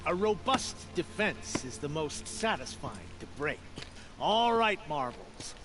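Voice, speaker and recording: Pompous high-pitched villain commander. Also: male voice, very animated, slow, background noise. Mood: gloating. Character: cruel, boastful male enemy war commander, theatrical. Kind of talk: video game villain acting. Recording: distant voice under game music. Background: game music.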